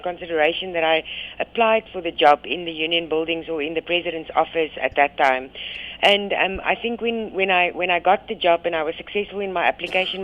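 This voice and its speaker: A South African woman, a radio guest talking by phone, hesitant with many ums and restarts. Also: low-pitched. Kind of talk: phone interview. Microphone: phone line. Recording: tinny phone line.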